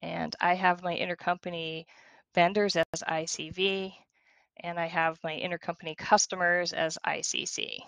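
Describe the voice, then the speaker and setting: A woman demonstrating software, walking through each step in a conversational tutorial style. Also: lowish voice, flat delivery, moderate pace, creaky, no room sound.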